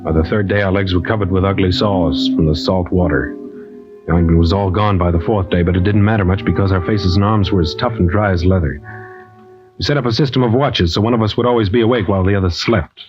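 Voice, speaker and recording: An actor playing a tough, laconic American pilot in an old-time radio drama, plain-spoken, clipped and understated. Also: male voice, low voice, fast talker, music in the background, lightly acted. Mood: grim recollection. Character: tough, laconic male pilot, wartime veteran, gallant and wry. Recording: noisy, muffled old radio recording.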